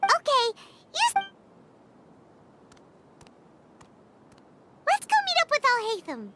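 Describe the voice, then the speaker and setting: A woman voicing a tiny, excitable, childlike fairy companion in an anime video game, with a squeaky voice and exclamatory delivery. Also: moderate pace, heavy background noise, exaggerated voice. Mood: plucky. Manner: refers to self in third person, exclamatory.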